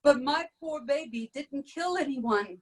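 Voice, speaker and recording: An actress playing a queen calmly reassuring her husband, sometimes dropping to a whisper. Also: sits high, a little slow. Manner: calm reassuring, drops to whisper. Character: queen soothing her husband, adult woman. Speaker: actor in dramatic reading.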